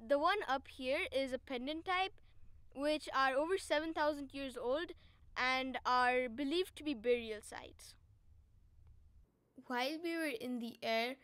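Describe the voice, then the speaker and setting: High-pitched young vlogger, female voice. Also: fairly bright tone, no echo. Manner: explanatory, instructing. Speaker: vlog host. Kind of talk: travel vlog narration and casual demonstration.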